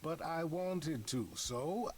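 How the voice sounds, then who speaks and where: Sly male god for a video game: coaxing, evasive, lively swooping delivery, unhurried. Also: on the high side, slight background noise.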